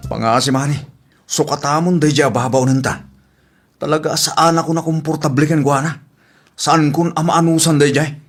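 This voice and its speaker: A man's voice: fairly high pitch, steady tone, normal speed, faint music behind, close to an everyday voice. A man playing a faithful, uneasy husband and employer in a domestic drama, earnest and complaining. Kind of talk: domestic audio drama acting.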